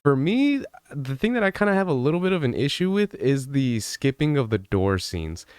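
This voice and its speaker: A man giving casual gaming news commentary in a conversational, rambling way, softening his opinions as he goes. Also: mid-range voice, sing-song, normal speed, clear, resonant tone, no echo, studio quality.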